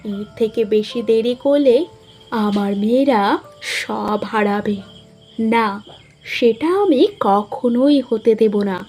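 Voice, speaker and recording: A hot-tempered storybook queen acted out by a woman scolds and schemes in a high voice. Her delivery is unhurried, sneering and indignant. Music plays behind her. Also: warm tone. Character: spiteful, hot-tempered younger queen, jealous scheming stepmother. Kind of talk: Bengali fairy-tale cartoon acting.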